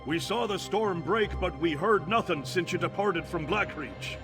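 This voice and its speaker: A man's voice: high-pitched, moderate pace, lightly acted. A man voicing a grave, loyal guard commander. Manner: earnest, grave, soldierly. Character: male city guard commander, steady and loyal. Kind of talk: video game voice acting. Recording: game audio with background music.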